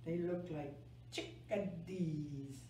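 An older woman reading a picture book aloud to children in a gentle, deliberate way, stressing key words. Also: low voice, steady tone. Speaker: library story time reader. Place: small indoor room, seated. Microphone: camera a few metres away. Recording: echoey room, distant mic, faint noise.

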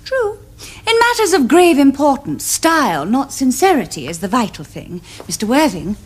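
A grandly affected young English society lady in a period television comedy. Her upper-class voice is high and husky, very animated, with precise, self-assured pronouncements. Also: moderate pace, full character voice. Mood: grand, earnest. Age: young adult.

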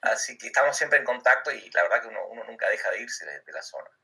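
A middle-aged man with an Argentine accent talks casually, restarting his sentences and doubling words. His voice is low and very bright, with a husky edge. He sounds set back from the microphone. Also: expressive, fast talker, far from the mic. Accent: Argentine Spanish. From Argentina, Comodoro Rivadavia. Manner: repeats words and restarts often, laughs easily. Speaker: interview guest, emigrant. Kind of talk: casual chat.

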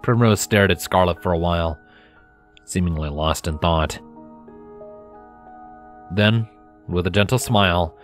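A man narrating scripted fan fiction in a measured, dramatic storytelling manner. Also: low-pitched, faint music behind.

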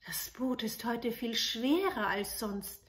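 A woman's voice: on the high side, expressive, slow, a bit bright. A woman voicing a timid, uneasy youngest princess in a storybook tale, anxious and wondering.

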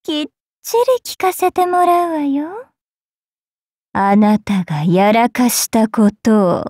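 A woman's voice: fairly high pitch, lively intonation, takes it slowly, a little mellow, no echo, clean studio sound. A woman playing a stern, haughty young noblewoman in a game, imperious and scolding. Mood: stern, threatening. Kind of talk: game character acting.

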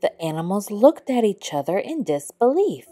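A woman reading a children's story aloud in a measured, expressive storybook style. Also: medium pitch, dry recording, soft background music. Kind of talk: scripted read-aloud narration for children.

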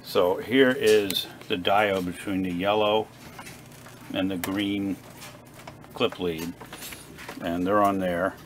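A man with a very low voice talks casually through hobby repair work. He is plain-spoken and a little halting, thinking aloud as he goes. Faint noise sits behind him in an indoor workshop recording. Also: steady tone. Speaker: hobbyist radio repair vlogger. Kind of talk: casual unscripted workbench narration. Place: indoor home workbench. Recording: clear background noise, workshop ambience.

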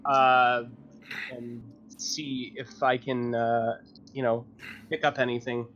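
A man's voice: mid-range voice, little pitch movement, takes it slowly, fairly bright tone. A man talking casually as a player in a tabletop roleplaying game. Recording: dull sound with background noise.

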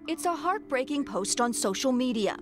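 A woman reads a scripted news package as the reporter. Her voice sits on the high side, with music playing clearly behind her. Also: expressive, moderate pace. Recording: music underneath.

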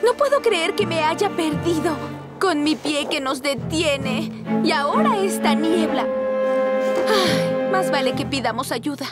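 Cartoon young woman firefighter, very high voice, unhurried, cheerful, capable, Latin American Spanish. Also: animated, loud music behind the voice. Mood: strained, rueful. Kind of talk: animated children's show dub.

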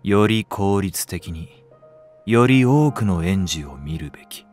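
A man's voice: deep voice, expressive, takes it slowly, a little mellow, faint music behind. An actor playing a blunt, lazy, jaded male nursery teacher in a Japanese voice drama, talking offhandedly. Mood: cool, jaded. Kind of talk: Japanese anime-style voice drama.

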